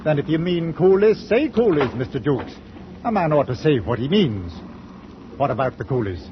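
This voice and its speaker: A lively, swooping delivery on a muffled old radio recording: a stolid old ship's captain. He is clipped, musing and literal-minded. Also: on the high side, moderate pace, noisy background. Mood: brusque. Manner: clipped, musing, matter-of-fact. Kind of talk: old-time radio drama acting.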